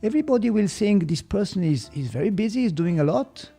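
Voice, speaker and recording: Swiss German man, gravelly and mellow. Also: high voice, lively intonation, soft background music. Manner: slow, thoughtful, gets stuck on English words. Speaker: podcast guest, martial arts teacher. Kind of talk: reflective explanatory talk.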